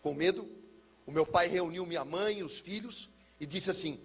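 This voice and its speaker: A Brazilian man speaking as a pastor, telling a personal testimony in an emphatic, theatrical way with deliberate repetition. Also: sits high, a bit bright, husky. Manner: emphatic, theatrical, uses pauses and repetition for effect. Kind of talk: personal testimony, dramatic storytelling to listeners.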